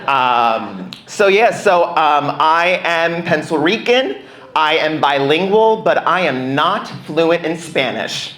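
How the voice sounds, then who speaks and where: A man's voice: on the high side, lively intonation, slow pace, a bit bright. A young American man performing a playful, self-deprecating stand-up routine in a conversational way on a club stage. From United States. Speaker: stand-up comedian. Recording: roomy live club sound.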